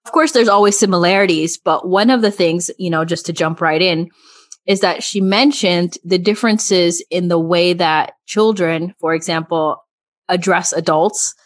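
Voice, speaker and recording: A woman co-hosting a podcast, chatting in an animated way and laughing often. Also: fairly low pitch, normal speed, no room sound. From United States. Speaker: podcast co-host. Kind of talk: casual chat.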